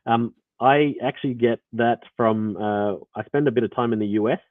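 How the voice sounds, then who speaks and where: A man's voice: mid-range voice, normal speed, no echo. A man with an Australian accent explaining code in a rambling live walkthrough, full of fillers and restarts. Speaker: developer presenting. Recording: tinny phone-like audio line.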